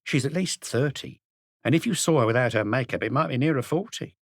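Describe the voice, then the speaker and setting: A man's voice: medium pitch, animated, fairly quick, dry recording, clean studio sound, pitched above the performer's own voice. A man voicing a plump, gossipy middle-aged woman who runs a café, in an audiobook reading. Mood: gossiping, scornful. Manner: chattering, scornful, indignant.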